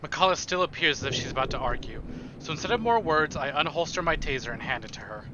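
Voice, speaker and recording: Lively higher-voiced man narrating a game. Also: fast, muffled recording, noisy background. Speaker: game streamer. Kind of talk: dramatic read-aloud narration with casual asides.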